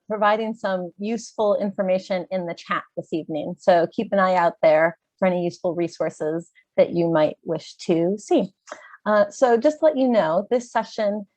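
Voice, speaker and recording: A woman with an American accent from Chicago, a little congested, presenting a webinar in a clear, organized way with some "um" fillers. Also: medium pitch, normal speed, darkish tone, no room sound. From Chicago, USA. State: slightly congested, clearing throat. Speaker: webinar presenter, education advisor. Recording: dull, dry video-call audio.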